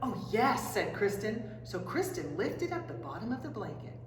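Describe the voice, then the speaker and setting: A woman reading a children's storybook aloud in an expressive, dramatic way, drawing out key phrases. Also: medium pitch, moderate pace, faint music behind. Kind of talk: animated read-aloud storytelling. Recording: roomy echoing hall, distant mic, faint noise.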